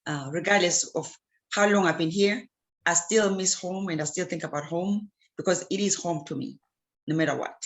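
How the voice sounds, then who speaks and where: Woman from Zimbabwe, interview guest, low voice, earnest, halting delivery. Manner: earnest, hesitant, many fillers and restarts. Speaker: guest, clean water advocate. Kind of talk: casual interview answers.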